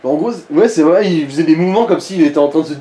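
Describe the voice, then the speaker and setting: Mellow-voiced man in an echoey room. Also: a little high, fast talker. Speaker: co-commentator guiding the player. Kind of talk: casual gaming chat, giving tips. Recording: roomy echo, faint background noise.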